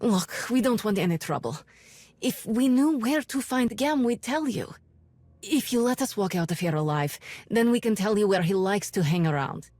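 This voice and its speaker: A woman voicing a fed-up, impatient townsperson in a video game, blunt and animated. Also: medium pitch, moderate pace, dry recording, close to an everyday voice. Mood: pleading.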